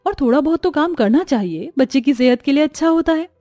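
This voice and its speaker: A woman voicing a gentle, dutiful young daughter-in-law in a cartoon, respectful and reassuring in manner. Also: high-pitched, animated, brisk, dry recording, studio quality, soft background music.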